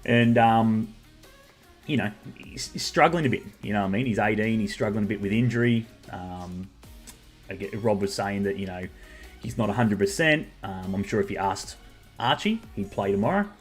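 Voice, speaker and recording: Australian man, podcast host, lowish voice, rambling chatty talk. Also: lively intonation, moderate pace, husky, soft background music. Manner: rambling and chatty, many fillers. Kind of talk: casual chat.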